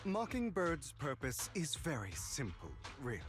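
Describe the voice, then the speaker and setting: A man playing a suave, theatrical and courteous phantom thief in a video game, speaking in smooth, elaborately polite lines over music. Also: on the high side, huge pitch swings, measured pace. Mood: smooth, persuasive. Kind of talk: scripted video game voice acting. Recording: music under the voice.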